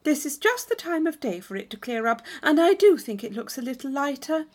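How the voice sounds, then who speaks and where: A woman's voice: sits high, very animated, brisk, darkish tone, voice pushed up. A woman voicing an eager, anxious young lady from a storybook, earnest and given to dramatic exclamations. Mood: stubborn, then despairing.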